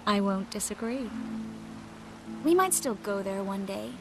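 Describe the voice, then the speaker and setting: A tender, slow-spoken young sorceress. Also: female voice, mid-range voice, lively intonation, over music. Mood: relaxed, teasing. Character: tender, wistful young sorceress in love, gently teasing. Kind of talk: dramatic video game cutscene acting.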